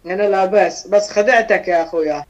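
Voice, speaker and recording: A man's voice: high-pitched, rapid-fire, crowd noise. A young man on a random video chat, teasing and insistent, calling the other person bro. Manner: mocking, insistent, calls people bro. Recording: dull lo-fi webcam audio.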